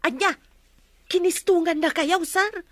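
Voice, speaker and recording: A woman's voice: extremely high pitch, measured pace, fairly bright tone. A woman playing a deferential, questioning sidekick in an Ilocano radio comedy, with an Ilocano accent. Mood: inquisitive. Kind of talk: Philippine radio comedy drama acting.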